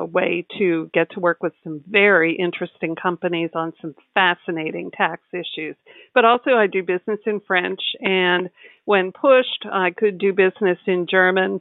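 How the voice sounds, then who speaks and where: A woman giving explanatory answers as an interview guest, articulate and measured, speaking in full, well-formed sentences. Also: on the low side, no room sound. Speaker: tax advisor, interview guest. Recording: tinny phone-like line, dry.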